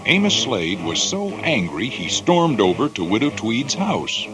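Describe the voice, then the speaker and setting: A man's voice: medium pitch, wildly swooping pitch, a little slow. A man narrating a scripted children's storybook as a read-along. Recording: loud music behind the voice.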